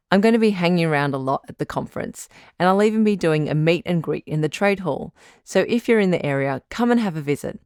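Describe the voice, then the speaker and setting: An actress playing a calm, reassuring doctor who hosts a radio advice show, measured and frank in an audio drama. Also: female voice, sits low, smooth, no echo, studio quality. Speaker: radio call-in host.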